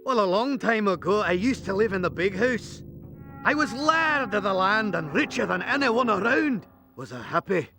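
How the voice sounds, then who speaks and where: Jock, a Scottish ghost laird, gravelly and high. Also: male voice, very animated, normal speed. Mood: wistful. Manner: blustering, theatrical brogue. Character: lonely old Scottish ghost laird, male. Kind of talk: cartoon game cutscene acting. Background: music.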